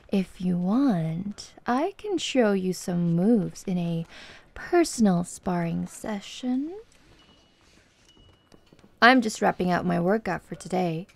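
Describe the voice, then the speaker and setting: A woman's voice: medium pitch, gravelly, darkish tone, heavily acted. A woman playing a flirty, confident tomboy MMA fighter in an ASMR roleplay, with a playful, teasing, coaxing manner.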